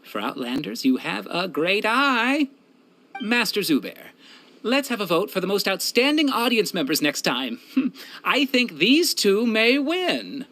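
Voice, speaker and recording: A man's voice: high-pitched, big pitch swings, a little slow, pushed and bright, full character voice. A man voicing an easygoing, admiring theatre regular in a game, upbeat and reassuring. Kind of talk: game character acting.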